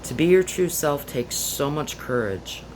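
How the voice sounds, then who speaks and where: A woman, the parent of a grown child, reading a heartfelt letter of support in a steady voice. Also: low voice, unhurried, background noise. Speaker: parent of a transgender daughter. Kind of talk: reading a personal letter aloud.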